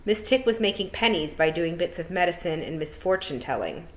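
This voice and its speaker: A woman's voice: on the low side, lively intonation, brisk, low-quality recording. A woman teacher at home, chatting with her pupils and then reading a children's novel aloud in a friendly, encouraging way. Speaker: teacher giving a read-aloud. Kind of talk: friendly classroom talk and storybook reading.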